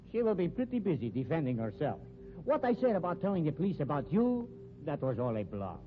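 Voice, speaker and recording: A man's voice: a little high, very animated, fairly quick. An actor playing a sly, sneering carnival knife man with stilted formal phrasing in a 1950s radio drama. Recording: dull, noisy 1950s radio recording.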